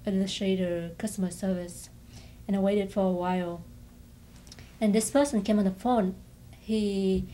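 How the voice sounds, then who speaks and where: A woman speaks informally as a documentary interviewee. Her voice sits at a medium pitch, and she is halting as she searches for words. There is faint noise on the amateur video recording. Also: dark tone. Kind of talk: informal recounting of an incident.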